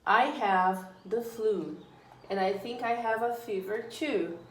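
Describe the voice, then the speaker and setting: A woman explains English grammar to her students, going slowly and patiently. She says each example sentence twice in a deliberate, measured voice. Her voice carries a noticeable echo from the room. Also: a little high. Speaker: English teacher. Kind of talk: slow, deliberate classroom explanation. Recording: echoey room.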